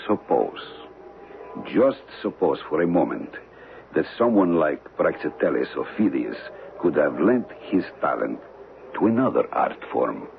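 An actor playing a shady, calculating smuggler in a radio mystery, speaking deliberately and formally with dramatic pauses. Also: male voice, very deep voice, big pitch swings, gravelly, exaggerated voice. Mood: scheming, persuasive. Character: shady, calculating male smuggler of stolen antiquities. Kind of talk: old-time radio mystery acting. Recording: muffled, noisy old radio broadcast recording.

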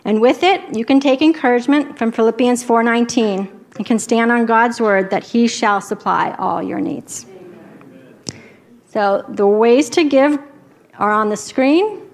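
A woman with a fairly high voice announces how to give at a church service. She keeps it plain and practical, then leads a short prayer. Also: a little slow. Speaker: church member leading the offering.

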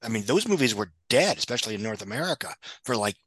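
Male film pundit, raspy bright voice, very lively intonation, opinionated and conversational. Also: medium pitch, quick pace, no room sound. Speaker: guest box office commentator. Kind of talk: casual film analysis.

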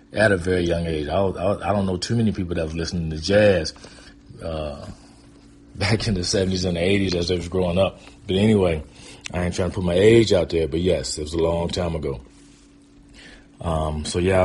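A man in his fifties from Michigan with an American accent, telling personal stories in an informal, rambling, hesitant way with a husky voice. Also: low-pitched, a little mellow, slight background noise. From Pontiac, Michigan, United States. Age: 50s. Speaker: guest storyteller. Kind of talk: casual personal storytelling.

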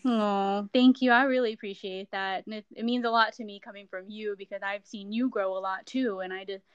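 A woman's voice: mid-range voice, fairly even intonation, brisk, smooth, dry recording, dull audio. A woman hosting a casual podcast interview, encouraging and affectionate toward her guest. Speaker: podcast host, fitness coach.

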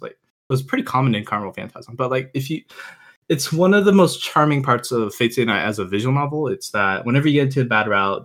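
A man's voice: medium pitch, fairly bright tone. A man speaking as a podcast guest, giving emphatic opinions in an informal, conversational way. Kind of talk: casual opinionated chat.

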